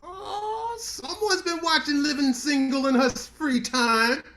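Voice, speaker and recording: An actor in a staged play reading, playing a sharp-tongued male lawyer who trades quick, teasing comebacks and turns grave and reflective. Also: very high voice, full character voice. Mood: needling, wry.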